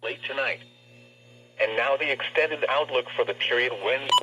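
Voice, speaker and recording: A man's voice: medium pitch, moderate pace, bright, forceful tone, muffled recording. A man's formal, impersonal voice reading a weather forecast through a radio speaker.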